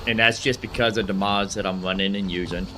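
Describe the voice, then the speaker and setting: A man's voice: lowish voice, fairly even intonation, a little fast. A man hosting a gaming video with casual, rambling commentary, repeating phrases as he thinks aloud. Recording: game music behind voice. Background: game music and sounds.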